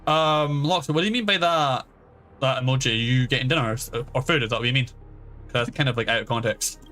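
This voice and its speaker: A man's voice: medium pitch, quick pace. A man streaming a game, giving casual live commentary in a slangy, off-the-cuff way. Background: soft background music.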